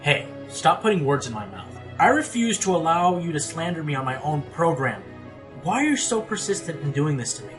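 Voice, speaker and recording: A man's voice: fairly high pitch, sing-song, fairly quick, fairly bright tone, soft background music. A man acting as an earnest, easily exasperated male pony who hosts a radio show, insistent and theatrical, in a radio play. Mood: frustrated.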